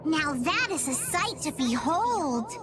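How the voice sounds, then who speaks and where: A high, sing-song little girl adventurer from a fantasy game. She is confident and talks in a grand, quaint way over clear music. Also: big pitch swings, takes it slowly, heavily acted. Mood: confident, awed. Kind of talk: English game dub voice acting.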